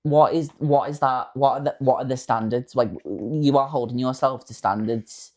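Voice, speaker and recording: A man's voice: medium pitch, normal speed, darkish tone. Opinionated man in his late twenties. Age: late 20s. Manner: opinionated, earnest. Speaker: podcast co-host. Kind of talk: casual chat.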